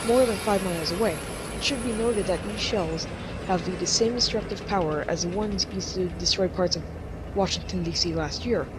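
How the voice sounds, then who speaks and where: A woman acts out a female war correspondent in a radio news drama, speaking on the lower side from the front line. The report comes through clear outdoor noise with a rumble of vehicles. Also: natural voice.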